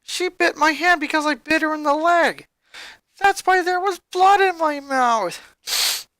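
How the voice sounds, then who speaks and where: Woman voicing an upset, high-pitched young boy. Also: unhurried, fairly bright tone, no room sound. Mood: agitated, upset. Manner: emotional, emphatic, bitter. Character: angry, hurt young boy venting about his family. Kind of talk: dramatic fan fiction character reading.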